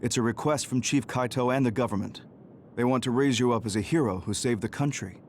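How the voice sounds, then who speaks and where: A man's voice: mid-range voice, fairly quick, a little hiss or hum, close to an everyday voice. A man playing a matter-of-fact male official in a video game, firm as he explains orders. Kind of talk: video game cutscene voice acting.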